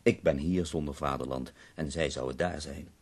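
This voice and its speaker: The husky, very low-voiced invalid poet of a radio play, delivering weary, self-mocking irony from his sickbed. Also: fairly even intonation, normal speed, a little mellow, full character voice. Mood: weary, bitter. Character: ailing, bitterly ironic, bedridden male poet. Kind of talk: radio drama acting.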